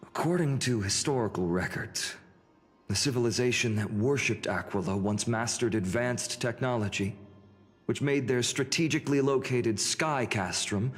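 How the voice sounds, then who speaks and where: An actor voicing a calm, learned young man in a game cutscene, explaining history in a measured way. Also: mid-range voice, faint music behind, lightly acted. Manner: measured, explanatory, scholarly delivery. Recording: long reverb.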